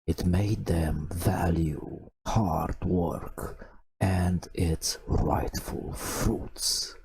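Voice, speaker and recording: Very slow, low whispering man. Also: fairly even intonation. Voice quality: breathy whisper with creaky low murmur. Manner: hushed, slow, drawn-out phrasing with pauses. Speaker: ASMR storyteller. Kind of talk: whispered ASMR bedtime story narration. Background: video game ambience.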